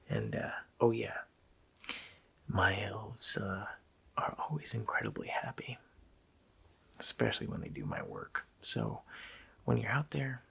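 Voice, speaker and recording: A man voicing an evil, mocking child-snatching Christmas demon in a slow, menacing, breathy rasp for an ASMR roleplay. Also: on the low side, sharp tone, heavily acted. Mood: darkly gleeful. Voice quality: breathy, gravelly rasping whisper.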